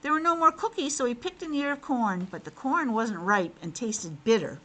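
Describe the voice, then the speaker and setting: A woman's voice: a little high, lively intonation, normal speed, pushed and bright. A woman teacher reading a picture book aloud to young children, expressively, with simple asides to her listeners.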